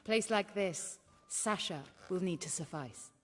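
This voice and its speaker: An actress playing a poised, worldly mystery woman in a video game, speaking in a measured, wry and cultured way. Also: fairly low pitch, in a large hall. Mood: measured, knowing. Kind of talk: video game dialogue acting. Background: background music.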